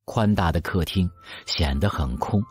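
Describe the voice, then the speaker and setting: A man's voice: low-pitched, a little slow, soft background music. A man narrating an audio drama in a scripted storytelling style.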